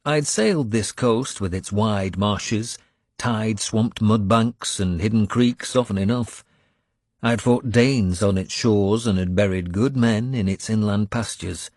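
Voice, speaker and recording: A man's voice: lowish voice, a little slow, darkish tone, no room sound, studio quality. A man reading a historical adventure audiobook as a dramatic first-person narrator.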